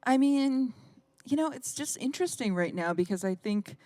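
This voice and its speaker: A woman's voice: sits high, lively intonation, normal speed, dark tone, no echo. A woman pianist and composer chatting as a guest in an interview, laughing often and trailing off with fillers. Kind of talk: casual interview conversation.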